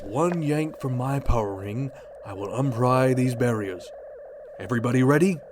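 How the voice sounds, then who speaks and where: A man voicing a confident male superhero who leads the team with brisk orders. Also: medium pitch, noisy background, close to an everyday voice. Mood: commanding. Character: confident male hero with a power ring, a natural leader. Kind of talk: amateur radio drama acting.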